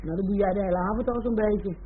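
An actress in a Dutch radio play as a weary, unimpressed woman, curt and deadpan, on a muffled old recording. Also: mid-range voice, fast, slight background noise, lightly acted. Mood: weary, dry. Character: weary, dry, unimpressed female partner.

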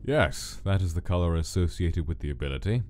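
A man's voice: low voice, animated, a little fast, darkish tone, a little hiss or hum. A man voicing a cold, formal monster scientist who lectures in a stern, clinical manner, in a comic dub. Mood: stern, lecturing.

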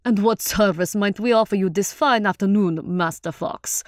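A woman voicing a big, hearty male minstrel leader with a warm, rich voice and a playful boastfulness, in an audiobook story. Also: medium pitch, normal speed, no room sound, studio quality, close to an everyday voice. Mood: welcoming.

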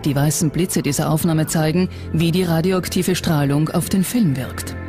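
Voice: mid-range voice, steady tone, moderate pace. A documentary narrator reading a scripted account. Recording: music under the voice. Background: background music.

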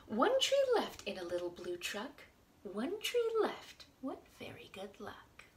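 A woman with a lively, fairly high voice presents a picture-book storytime for young children. She speaks deliberately and expressively, recorded in a room at home with some faint background noise. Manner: animated, deliberate, talking to small children. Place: small indoor room at home.

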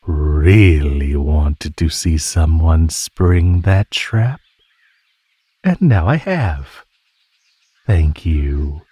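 A man voicing a predatory male naga in an ASMR roleplay, husky and breathy, teasing his prey in a mockingly sweet drawl. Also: a bass voice, warm tone, no echo, heavily acted. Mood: amused teasing. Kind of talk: ASMR roleplay, scripted monologue.

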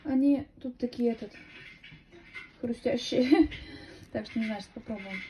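A woman's voice: a little high, normal speed, lo-fi sound, background noise. A young woman chatting casually into her phone at home, rambling with lots of fillers and restarts. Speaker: vlogger, mother. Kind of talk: casual home vlog chat. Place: room at home, indoors. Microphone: phone at arm's length.

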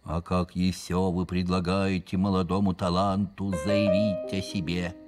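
An actor playing a dreamy, theatrical pirate schoolboy in a cartoon, with a drawn-out storytelling manner. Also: male voice, low-pitched, flat delivery. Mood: lofty, proud. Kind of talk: animated cartoon dialogue. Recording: music under the voice.

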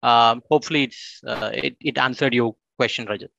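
A man's voice: medium pitch, a little fast, vocal fry, bright, forceful tone, no echo. A man speaking as a panelist on a video call, explaining at length and often adding "right?" to check in. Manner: explanatory, with frequent "right?" tags. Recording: dry, dull video-call audio.

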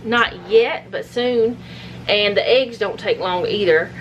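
A woman's voice: medium pitch, slow, highs cut off, a little hiss or hum. A middle-aged woman, rambling casually with self-deprecating asides as she cooks supper in her home kitchen. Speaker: home cooking vlogger. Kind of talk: casual cook-along chat. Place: home kitchen with food frying. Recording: home kitchen with loud sizzling.

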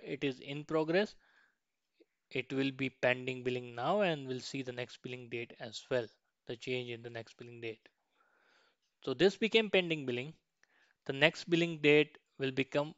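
A man giving an informal step-by-step software walkthrough, often checking in at the end of a point. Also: medium pitch, no room sound, dull audio. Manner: frequently ends points with a questioning "right". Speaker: software tutorial instructor. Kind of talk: screen-share tutorial walkthrough, informal step-by-step.

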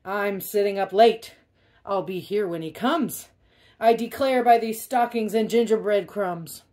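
A woman voicing a greedy, bratty male pug dog in a children's storybook, shouting shrilly and demanding things. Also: mid-range voice, a little slow, close to an everyday voice. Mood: defiant. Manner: demanding, whining, emphatic. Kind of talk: children's storybook read-aloud.

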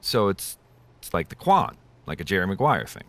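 An actor playing a genial, self-deprecating American sports talk show host in a game, chatty and a little hesitant. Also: male voice, sits low, huge pitch swings, moderate pace, a bit bright, no echo, full character voice. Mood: chatty, curious.

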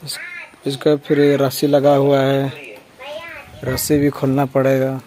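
A casual vlogger talks at a middling pitch. The explanations are plain and often repeat themselves. The phone recording from an open shed carries light noise. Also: completely flat delivery, measured pace, warm tone. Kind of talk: casual vlog narration, talking to viewers and a child. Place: large open-sided empty poultry shed. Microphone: handheld phone camera, operator behind it.

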